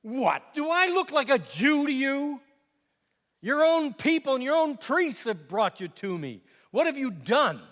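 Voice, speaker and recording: Sardonic Roman governor for stage monologue, male actor: high-pitched, forceful, scornful and exasperated, theatrical delivery. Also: unhurried, long pauses, exaggerated voice. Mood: mocking. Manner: animated, scornful, exasperated, swinging from quiet asides to outbursts. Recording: echoey hall with long reverb.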